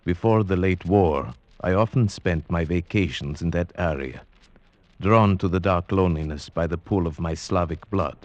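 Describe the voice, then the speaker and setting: Radio drama: male actor as courtly, formal Slavic gentleman, low register, muffled 1940s sound. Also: moderate pace, no room sound, lightly acted. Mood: grave, brooding. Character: cultured Slavic gentleman recounting a grim werewolf tale. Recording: muffled vintage radio recording with music.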